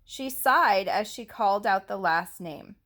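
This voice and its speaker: A woman teacher reading a picture book aloud to young children, deliberately and expressively, with explanatory asides and questions. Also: mid-range voice, expressive, fairly bright tone. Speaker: elementary school teacher reading to her class.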